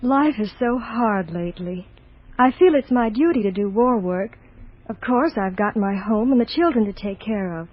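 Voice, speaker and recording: An actress playing an earnest housewife in a scripted 1940s radio advertisement. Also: female voice, mid-range voice, expressive, normal speed, natural voice. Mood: weary, fretful. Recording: dull old radio recording.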